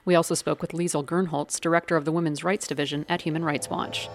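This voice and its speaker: Measured woman radio host, lowish voice. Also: fairly even intonation, a little hiss or hum. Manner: measured, probing questions. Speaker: podcast host. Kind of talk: radio interview and outro.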